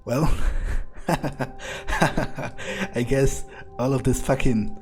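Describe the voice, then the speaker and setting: A man role-playing a cynical vampire underling in a video game playthrough, with a husky voice and a halting, muttering manner. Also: medium pitch, faint music behind. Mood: bitter, musing. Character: cynical, foul-mouthed, hesitant male vampire underling. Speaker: player role-playing his game character. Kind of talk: improvised in-character game playthrough.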